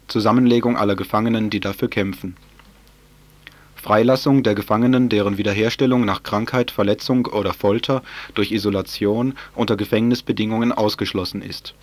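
A man presenting the news on a local radio station, reading a script in a measured, formal way. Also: fairly low pitch, no room sound. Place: radio studio.

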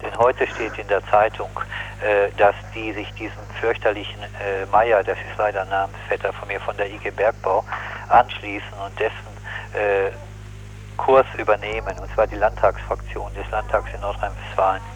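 A man speaking as an activist in a spontaneous political interview, critical and opinionated, halting and correcting himself as he goes. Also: lowish voice, little pitch movement, a little hiss or hum. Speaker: anti-nuclear activist interviewee. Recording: muffled, lo-fi 1980s radio recording.

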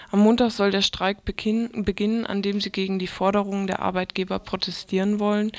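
A woman reads scripted radio news. Her pitch sits in the middle for a female voice, and her intonation stays flat.

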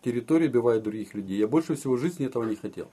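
A man with a husky voice recounting personal experiences informally in an interview. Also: mid-range voice, lively intonation, very fast, mellow. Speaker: interviewee recounting family's escape.

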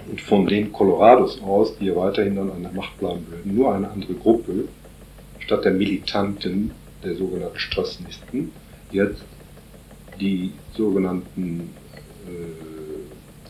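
Very deep-voiced man, halting radio talk. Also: a little mellow, echoey room, slight background noise, low-quality recording. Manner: halting, many fillers and restarts. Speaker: interview guest from a solidarity group. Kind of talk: radio interview.

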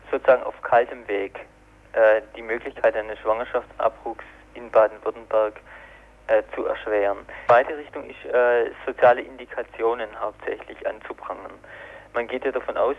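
A man explaining things in an unscripted interview, with halting, hesitant phrasing. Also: medium pitch. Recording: tinny narrow telephone line.

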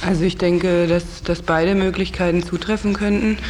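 A man's voice: high-pitched, little pitch movement, normal speed, darkish tone. A man being interviewed, explaining in long, winding, unscripted sentences. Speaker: interviewee, house project resident. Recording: clearly noisy radio interview recording.

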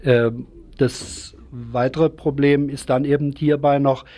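Male interview guest, soft dark tone, hesitant explainer, activist speaking off the cuff. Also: medium pitch, steady tone, a little hiss or hum. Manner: halting, explanatory. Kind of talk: unscripted radio interview.

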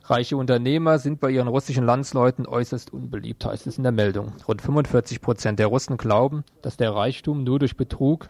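A man's voice: mid-range voice, vocal fry, a little mellow, dry recording. Man reading radio news, dry sound. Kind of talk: read news bulletin with brief informal asides.

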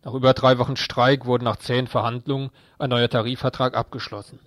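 Guy reading a scripted radio news report, mid pitch, really dry close sound. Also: little pitch movement, fast, fairly bright tone, no echo.

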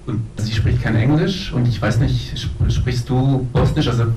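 A man's voice: moderate pace, dark tone. A man moderating a film talk, asking questions in a casual, tentative way. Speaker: interviewer, moderator. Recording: echoey room, distant mic, faint noise and rumble.

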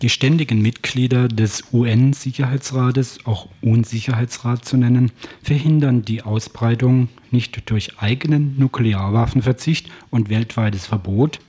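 A male commentator with a dark, husky voice of medium pitch reads a scripted political piece. His delivery is formal and accusatory. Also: unhurried, few pauses. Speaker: radio commentator.

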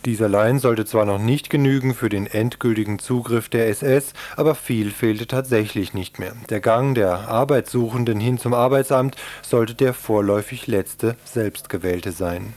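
A man's voice: mid-range voice, moderate pace, few pauses, darkish tone, no room sound. A man reading scripted documentary narration as a radio reporter, formal and sober.

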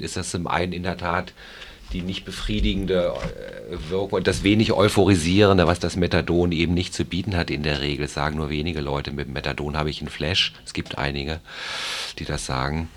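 A man speaking as an expert in a discussion, explaining things in a halting, deliberate way and qualifying his points. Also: very deep voice, slight background noise. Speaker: drug treatment programme clinician.

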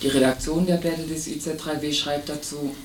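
A man's voice: fairly high pitch, flat delivery, brisk. A man reads a scripted magazine report as a radio presenter.

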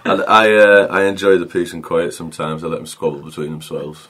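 A man's voice: deep voice, a little fast, fairly bright tone, slightly rough. A man from England, a band's singer, chatting in an interview with dry, laid-back humour. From North East England. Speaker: singer and guitarist. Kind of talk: interview chat.

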